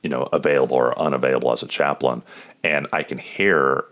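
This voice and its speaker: A man's voice: extremely low pitch, fairly even intonation, brisk, fairly bright tone. A man hosting an informal, reflective interview as a chaplain and counselor, asking questions conversationally. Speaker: podcast host, police chaplain and counselor.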